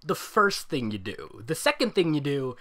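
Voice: medium pitch, sing-song, normal speed, a bit bright. A giggly host reading fan fiction aloud with mocking, exaggerated comic delivery and banter. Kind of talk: comedic fan fiction read-along with banter.

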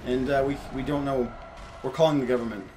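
Young dude co-commentating a game, joking around, medium pitch, little noisy. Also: male voice, steady tone, quick pace. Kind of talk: casual joking banter. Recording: noisy, game ambience behind voice.

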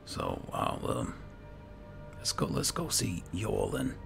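Very deep, gravelly man with swooping pitch. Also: huge pitch swings, takes it slowly, music in the background. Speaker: gaming video host. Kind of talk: casual roleplay game commentary.

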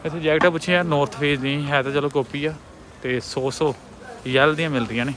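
A man's voice: on the high side, fairly even intonation, fairly quick, fairly bright tone. A young man from India with a Punjabi accent, chatting casually as a travel vlogger while walking and showing things around. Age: young adult. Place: outdoor city markets and streets. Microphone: handheld camera or phone at arm's length. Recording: noisy outdoor street and traffic sound.

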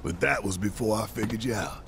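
An actor playing a big, gruff, brash rebel fighter with a warm heart and a gravelly voice in a video game. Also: male voice, lowish voice, brisk, a little hiss or hum. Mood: frank. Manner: brash, emphatic, folksy. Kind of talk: dramatic video game acting.

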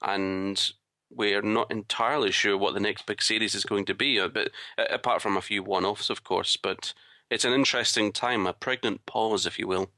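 A man's voice: on the low side, steady tone, moderate pace, sharp tone, no room sound, studio quality. A man co-hosting a podcast in casual, wry, joking chat. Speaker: podcast co-host.

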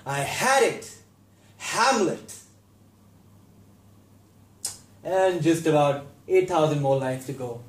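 A man performing a theatrical monologue as an anxious, self-deprecating young stage actor, confessional and halting with bursts of intensity. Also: on the high side, expressive, voice pushed up. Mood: wistful, exhilarated. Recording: echoey room.

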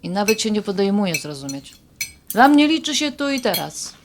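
An actress playing a practical, outspoken middle-aged wife in a naturalistic audio drama. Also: female voice, mid-range voice, sing-song, normal speed. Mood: frank. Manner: down-to-earth, frank. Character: practical, outspoken wife hosting a family holiday meal. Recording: noisy background of cutlery and dishes.

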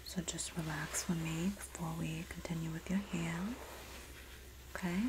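A woman playing a sassy, supportive Jersey girl in an ASMR roleplay, speaking softly and often whispering in a breathy, doting, gossipy way with a New Jersey accent. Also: sits low, level tone, noisy background, lightly acted. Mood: soothing. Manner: slow, gossipy, doting, dramatic emphasis.

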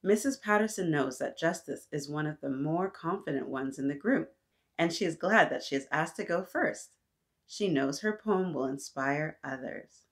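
A woman in her thirties reading aloud to young children, animated and encouraging, often addressing them directly with questions. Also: low-pitched. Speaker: children's story-time host. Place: small decorated indoor room.

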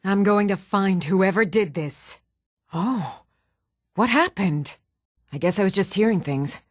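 A woman's voice: medium pitch, animated, unhurried, no room sound, studio quality. A woman playing a stern, challenging Nord townswoman ready for a fight in a fantasy video game. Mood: resigned. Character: fierce, even-tempered Nord townswoman warrior, commoner in a fantasy world. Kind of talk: fantasy video game combat and reaction lines.

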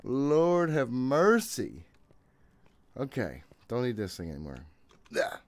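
A man's voice: medium pitch, wildly swooping pitch, slow pace, dry recording. A man in his thirties giving casual, jittery and exasperated commentary while streaming a horror game from a room at home. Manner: jittery, exasperated self-talk; frequent swearing. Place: small home room, indoors. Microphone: desk microphone, headset worn.

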